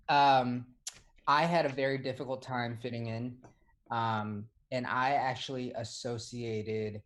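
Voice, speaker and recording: A young man from Hong Kong, a podcast co-host, telling stories in casual chat with plenty of fillers and restarts. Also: mid-range voice. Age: young adult. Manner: rambling storytelling with many fillers and restarts.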